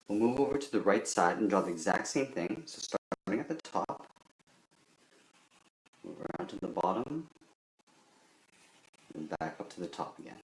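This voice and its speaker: A man's voice: fairly low pitch, darkish tone. A man giving a step-by-step drawing tutorial in simple, methodical instructions. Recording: roomy echo with faint background noise.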